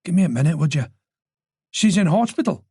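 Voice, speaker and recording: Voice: mid-range voice, expressive, a little fast, a little mellow, breathy edge, no echo, clean studio sound, voice pushed up. A narrator voicing an anxious male police colleague, terse and questioning with barely restrained disbelief, in an audiobook.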